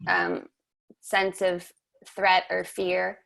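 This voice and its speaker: A woman hosting a webinar, speaking in a slow, measured, reflective way over a video call. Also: lowish voice, monotone, gravelly, a bit bright. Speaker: webinar host and somatic teacher. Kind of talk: reflective guided conversation.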